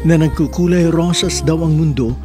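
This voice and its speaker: A man's voice: fairly high pitch, fairly even intonation, moderate pace, close to an everyday voice. A man acting a dreamy young man newly in love, narrating his story as a letter in a radio drama. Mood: dreamy, elated. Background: music.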